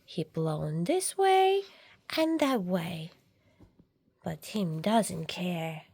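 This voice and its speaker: A woman reading a picture book aloud to young children, dramatically, with drawn-out words and shifts between hushed and loud delivery. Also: on the low side, animated, no echo. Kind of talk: animated read-aloud storytelling for young children.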